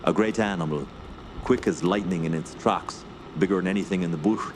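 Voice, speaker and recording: Man acting a low-voiced, uneasy woodsman. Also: fairly quick, background noise, close to an everyday voice. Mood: uneasy, ominous. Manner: rustic dialect, hesitant muttering. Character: uneasy backwoods Canadian guide, superstitious, evasive. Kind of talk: radio drama acting.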